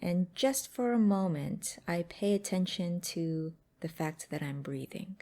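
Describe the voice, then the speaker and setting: A woman leads a guided meditation in a lowish, mellow voice. Her speech has a noticeable creak. She gives each instruction gently and without hurry, leaving space between phrases. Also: measured pace. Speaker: mindfulness exercise guide.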